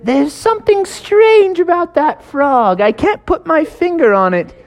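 A storyteller voicing a strict female lifeguard who quotes pool rules in a stern, drawn-out way. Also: sits high, lively intonation, pitched above the performer's own voice. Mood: suspicious.

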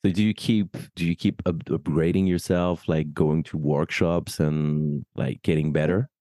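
A man with a very low, mellow voice and a French accent hosts a relaxed, conversational interview. The sound is close and dry, with little room around it. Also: measured pace, no echo. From France, raised in Paris. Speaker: podcast host, interviewer.

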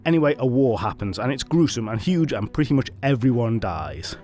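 A man hosts a storytelling podcast, recapping an old legend with joking asides. His voice is lively and moves up and down a lot. Soft music plays behind him. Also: medium pitch, a little fast. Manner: wry, playful asides. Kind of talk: chatty retelling of myth.

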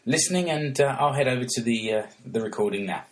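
A man hosting a casual interview podcast, talking in a relaxed way with many restarts and fillers. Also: mid-range voice, quick pace, a little hiss or hum, rough recording. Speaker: podcast host.

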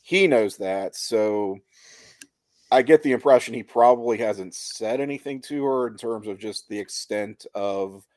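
A man with a fairly low voice chats as a podcast co-host. He casually picks apart a TV show, thinking aloud in colloquial language. The recording is dry, with no room sound. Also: a little slow, darkish tone, no room sound. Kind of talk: casual discussion and analysis of a TV show.

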